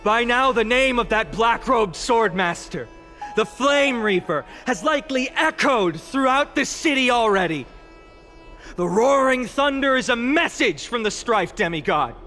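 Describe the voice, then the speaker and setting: Earnest young game hero, high voice, sweeping intonation, measured oratory, impassioned. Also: male voice, pushed and bright, heavily acted. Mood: grave. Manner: impassioned, rhetorical, formal delivery. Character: earnest young male orator defending his city. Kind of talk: dramatic video game speech.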